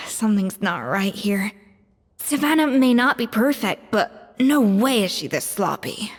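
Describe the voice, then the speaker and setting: An actress playing a proud, exacting young chef in a video game, confident and critical. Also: female voice, medium pitch, lively intonation, slow, slightly rough, big-room sound. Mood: suspicious. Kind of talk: video game cutscene acting.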